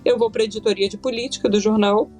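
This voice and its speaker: A woman's voice: fairly high pitch, steady tone, fast, a little mellow, faint music behind. A woman in her thirties with a Carioca accent from Rio, a journalist speaking on a panel in a chatty, thoughtful way with many fillers. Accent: Carioca Brazilian Portuguese. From Rio de Janeiro, Brazil. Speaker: journalist guest. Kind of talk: casual panel conversation.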